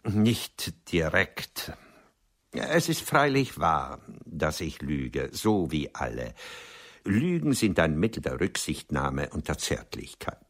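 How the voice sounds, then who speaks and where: An actor playing an ageing, urbane womanising writer in a German radio play, speaking in a rough, husky voice with dry, courtly irony. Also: very deep voice, sing-song, slow, heavily acted. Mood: dry, evasive. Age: older.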